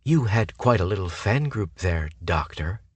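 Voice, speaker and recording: A man voicing a sharp-tongued, sarcastic young woman with a mocking way of talking. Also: low-pitched, animated, unhurried, husky, no room sound, full character voice. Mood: sassy. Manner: sassy, mocking.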